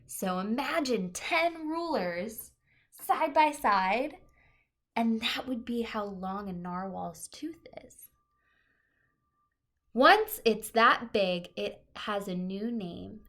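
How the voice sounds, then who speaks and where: A woman's voice: a little high, lively intonation, slow pace, a bit bright. A woman teacher reading a children's nonfiction picture book aloud, expressively stressing key words and adding short explanatory asides.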